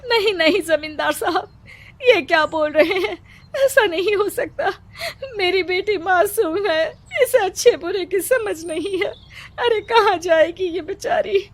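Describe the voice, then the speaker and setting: A woman's voice: extremely high pitch, unhurried, voice pushed up. A woman voicing a distraught village mother in a cartoon story, pleading and crying out.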